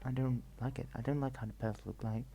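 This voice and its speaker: Soft-spoken man with a gravelly voice. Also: mid-range voice, fairly even intonation, fast talker. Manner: rambling, hesitant, mixes soft talk with whispers. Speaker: ASMR vlogger. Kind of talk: soft-spoken travel vlog narration. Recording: faint ambient noise.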